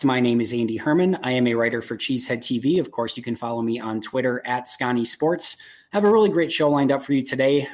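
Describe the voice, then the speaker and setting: Upbeat young man hosting a podcast. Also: medium pitch. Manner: upbeat, eager, says "really" a lot. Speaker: podcast host.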